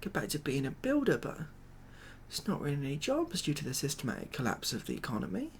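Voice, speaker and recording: A British man doing a soft-spoken ASMR comedy roleplay as a glum, overwhelmed ex-builder, rambling along in a hesitant, deadpan way. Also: on the high side, fast talker, gravelly, a little hiss or hum. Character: glum, overwhelmed ex-builder made president of the world.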